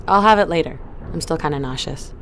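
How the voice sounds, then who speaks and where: An actress playing a quiet, resolute young woman on a spaceship crew. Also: sits low, a little fast, a little hiss or hum. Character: determined young female spaceship crew member.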